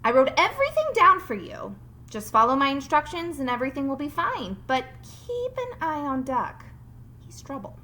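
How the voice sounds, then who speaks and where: A woman's voice: high voice, very animated, normal speed, sharp tone, voice pushed up, full character voice. A woman reading the part of a bossy, wary male farmer in a children's picture book, emphatic and commanding. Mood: firm warning. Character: bossy, wary farmer leaving orders, adult man.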